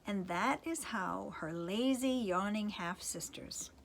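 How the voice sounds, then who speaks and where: A woman's voice: medium pitch, slow, creaky, slight background noise. A woman reading a storybook expressively to children, with a playful manner. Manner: playful asides to young listeners.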